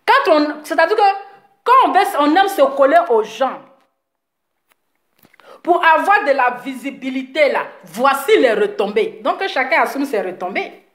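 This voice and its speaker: A woman's voice: sits high, lively intonation, moderate pace, roomy sound. A woman, slightly sick with a cold, scolding her followers in a heated, repetitive live video rant. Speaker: social media commentator.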